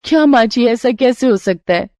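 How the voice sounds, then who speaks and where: Casting: young daughter-in-law for a cartoon storybook, played by a woman, respectful, wide-eyed, very lively intonation, dry studio sound. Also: on the high side, normal speed, no room sound. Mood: amazed, puzzled. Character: polite, easily amazed young daughter-in-law in a Hindi tale.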